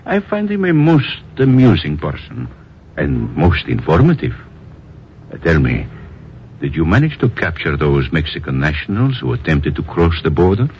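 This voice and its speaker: Very deep, sly Spanish-accented schemer. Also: male voice, huge pitch swings, normal speed, heavily acted. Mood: amused, probing. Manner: courtly, drawling, sly. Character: suave, sly Latin gentleman schemer, amused and insinuating. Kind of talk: 1950s radio drama acting. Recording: muffled, hissy old radio recording.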